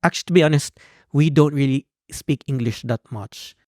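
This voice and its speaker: A young Filipino man, a PhD student, speaking as a podcast guest with a Filipino accent and an animated storytelling manner. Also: a little high, brisk, no room sound, clean studio sound. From Philippines. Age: young adult. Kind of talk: conversational interview.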